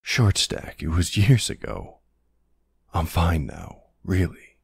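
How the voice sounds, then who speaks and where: Man acting a very deep, gravelly older boyfriend. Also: very animated, no echo, studio quality, heavily acted. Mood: tender. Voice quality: gravelly, husky. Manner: slow, hesitant, soothing. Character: protective older ex-military boyfriend, gruff but tender. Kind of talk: intimate ASMR boyfriend roleplay.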